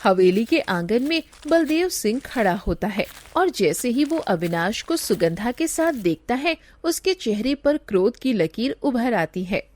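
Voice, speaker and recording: A woman's voice: fairly high pitch, very animated, a little slow, few pauses, a little mellow. A woman giving scripted storytelling narration for a cartoon moral tale.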